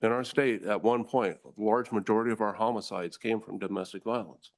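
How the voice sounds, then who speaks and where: An American man, a district attorney, giving formal, forceful testimony to a legislative committee and addressing the room as ladies and gentlemen. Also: on the low side, steady tone, fairly quick.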